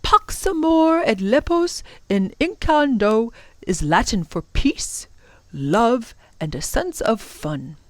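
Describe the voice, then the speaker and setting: A woman's voice: on the high side, big pitch swings, slow pace, no echo. A woman voicing a kindly, fussy older male professor in a storybook, explaining things with donnish exclamations.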